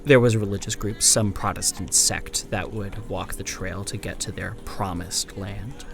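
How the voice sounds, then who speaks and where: A man playing an amnesiac old-time detective, narrating a grim first-person account in a horror audio drama in a measured, solemn way. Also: fairly low pitch, fairly even intonation, creaky, over music. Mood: haunted, solemn. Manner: measured, solemn, literary delivery. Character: amnesiac former Pinkerton detective writing a grim final account.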